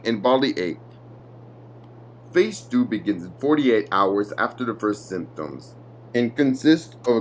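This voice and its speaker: A man giving a formal, measured scripted narration of a clinical document. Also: mid-range voice.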